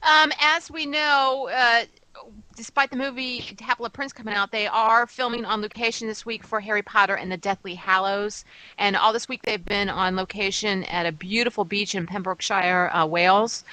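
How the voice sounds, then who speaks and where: A woman's voice: medium pitch, steady tone, moderate pace, pushed and bright, smooth, no room sound, poor audio. A woman casually presenting fan news on a podcast in a gushing, enthusiastic manner.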